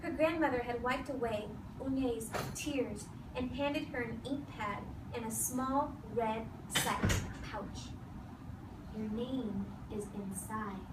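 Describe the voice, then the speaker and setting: A woman teaching and reading aloud to young children, giving firm short directions and deliberately repeating key phrases. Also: a little high. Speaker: elementary school teacher. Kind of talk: classroom read-aloud and instruction to young children. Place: classroom full of seated children. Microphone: distant camera across the room. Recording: echoey, noisy classroom recorded from far off.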